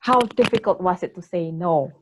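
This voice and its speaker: A woman interviewing a guest, asking measured questions. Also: fairly low pitch, noisy background. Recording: dull lo-fi audio.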